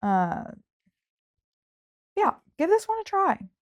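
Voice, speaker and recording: A woman's voice: very high-pitched, very animated, takes it slowly. A woman podcast host in a casual book chat, talking informally with hedges and lots of "like" sprinkled through. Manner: informal, hedging, frequent "like".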